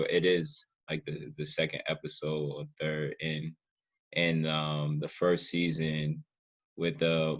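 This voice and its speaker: A man's voice: sits low, fairly bright tone. A man hosting a casual interview, talking informally and searching for words as he shares his thoughts. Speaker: podcast host, interviewer.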